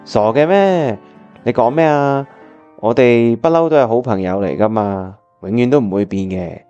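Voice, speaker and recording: Man playing heartbroken young pianist, mellow tone, Hong Kong accent, earnest melodramatic storytelling, radio drama, piano music behind. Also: medium pitch, normal speed, clear, resonant tone, lo-fi sound, close to an everyday voice. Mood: feigned casualness. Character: lovesick, heartbroken young male pianist hiding his feelings. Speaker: narrator and lead actor in a radio drama. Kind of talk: scripted dramatic first-person narration.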